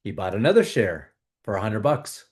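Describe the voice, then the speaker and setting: A man hosting a video, calmly guiding viewers through a tapping exercise with repeated phrases. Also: on the low side, moderate pace. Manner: calm, repetitive, coaching tone. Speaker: host leading a tapping exercise. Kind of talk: guided tapping exercise and money talk.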